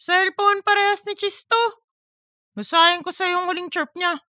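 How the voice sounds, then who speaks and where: A woman voicing a teasing male office buddy with drawn-out, slangy talk in a comic audiobook. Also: very high voice, fairly even intonation, pushed and bright, no room sound, clean studio sound. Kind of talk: scripted comic audiobook dialogue.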